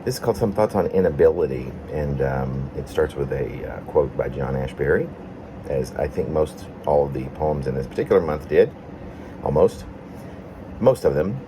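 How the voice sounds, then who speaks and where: A man from Arkansas, a poet presenting and reading his own autobiographical work in an unhurried, personal way. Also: a bass voice, noisy background. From Arkansas, United States. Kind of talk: casual introduction, then reading aloud autobiographical prose poem.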